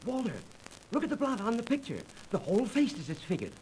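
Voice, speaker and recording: A gullible, eager businessman in 1930s radio style. His high voice swoops in pitch and stumbles with excitable fluster. The old recording has some noise. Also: fast talker. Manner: excitable, stammering. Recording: noisy 1930s radio transcription disc.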